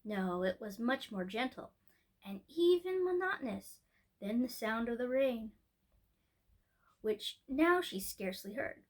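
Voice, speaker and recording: A woman's voice: on the high side, expressive, unhurried, long pauses. A woman storyteller hosting a storytime, reading a children's tale expressively. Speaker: storyteller, host of a storytime show.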